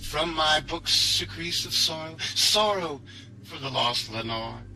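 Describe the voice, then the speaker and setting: Bright, forceful man reciting dramatic poetry. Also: a little high, animated, slow, gravelly, soft background music, rough recording. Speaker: reader in a group dramatic reading.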